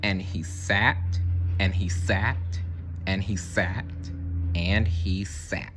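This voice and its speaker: A man reading a children's story aloud as an expressive storyteller, theatrical and deliberate, with playful asides. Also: low voice, noisy background.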